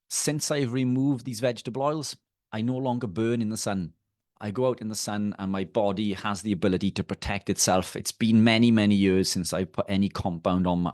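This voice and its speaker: Welsh man, low voice, dry close sound, hesitant guest on a live call. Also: darkish tone, no room sound. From Wales. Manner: hesitant, many fillers and restarts. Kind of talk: casual explanatory chat.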